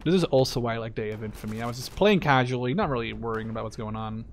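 A man's voice: mid-range voice, very fast, a little hiss or hum. A man doing casual video game commentary in a rambling, profane, easily distracted way. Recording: gunfire and clicks behind the voice. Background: video game gunfire.

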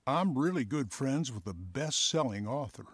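A man's voice: mid-range voice, animated, normal speed, breathy edge, no room sound. Fatherly counselor, earnest, preachy, dry close sound. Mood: friendly. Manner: earnest, teaching. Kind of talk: radio drama acting.

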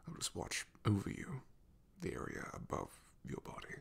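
A man playing an awkward, loyal knight in a soft ASMR fantasy roleplay, half-whispering in a breathy, gravelly voice with a hesitant, apologetic, deferential manner. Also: low-pitched, huge pitch swings, slight background noise, exaggerated voice. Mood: nervous, eager-to-please. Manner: hesitant, apologetic, halting with throat clearing.

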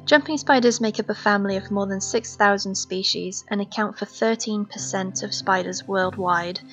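Hoarse young woman explaining pet care. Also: medium pitch, moderate pace, faint music behind, rough recording. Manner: steady explanatory delivery. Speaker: pet-keeping channel host. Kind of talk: informative pet-care narration. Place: home room with reptile tanks.

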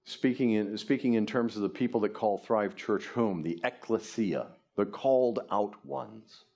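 A man's voice: lowish voice, measured pace, gravelly, a little mellow, husky. A man in his fifties, a pastor preaching earnestly from a lectern on a church stage. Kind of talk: sermon. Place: church stage before a congregation.

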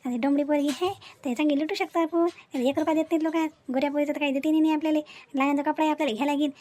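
A very high-pitched, soft-toned cartoon village mother , voiced by a woman. She is greedy and conniving, coaxing and blunt by turns in a Varhadi Marathi accent. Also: steady tone, racing, slight background noise, lightly acted. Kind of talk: comic cartoon dialogue.

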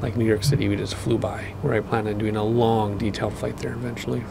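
A man, rambling casually through gameplay commentary with lots of fillers, his voice a bit hoarse from losing it. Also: lowish voice, flat delivery, fast talker, background noise. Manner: rambling, chatty, with many fillers. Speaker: flight sim gaming host.

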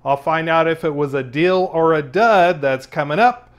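A man in his fifties hosting a product review, speaking in measured, clearly enunciated scripted narration to camera. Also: on the high side. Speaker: host of a product testing channel.

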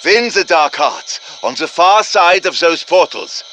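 A bright, commanding professor. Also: male voice, a little high, animated, slight background noise, rough recording. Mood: urgent, commanding. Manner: deliberate, dramatic, commanding. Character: urgent, commanding male professor guiding soldiers against demons. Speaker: game character voice, mission guide. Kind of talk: video game voice lines, scripted radio briefing.

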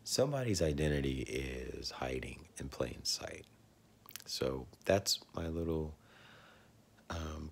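A man in his thirties who is losing his voice, talking slowly at home into a phone at arm's length, often dropping to a husky whisper and clearing his throat. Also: rumbling low, a little hiss or hum. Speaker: vlogger sharing religious theories. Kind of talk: casual home video monologue. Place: living room at home, indoors. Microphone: phone camera at arm's length.

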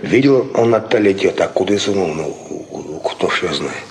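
A careless young peasant farmhand in a vintage Soviet radio play. His is offhand and cocky, with lively pitch in a roomy studio. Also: normal speed, faint music behind. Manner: offhand, dismissive. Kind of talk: 1950s Soviet radio drama acting.

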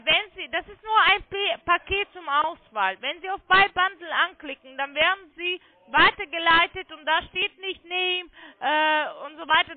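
High-pitched woman on a phone line. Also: no echo. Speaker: phone sales agent claiming to be technical support. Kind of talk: phone sales call, step-by-step instructions.

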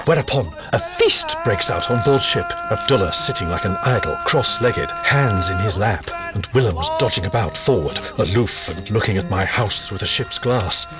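A bitter jumpy trader, keeps getting worked up, voice all over the place, music behind. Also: male voice, fairly low pitch, huge pitch swings, moderate pace, hardly any pauses. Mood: recounting. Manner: agitated, indignant, self-pitying. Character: anxious, bitter colonial trader, easily outraged. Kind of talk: full-cast audio drama acting. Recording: studio audio drama with music bed.

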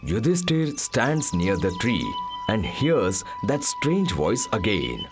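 A man narrating a scripted animated mythological story for children. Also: lowish voice, slow. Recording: music behind.

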